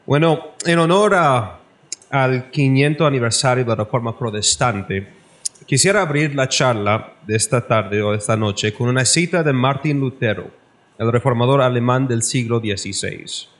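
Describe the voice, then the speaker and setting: A man's voice: mid-range voice, moderate pace. Canadian man giving a formal lecture. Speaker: guest lecturer. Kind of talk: formal lecture read from notes.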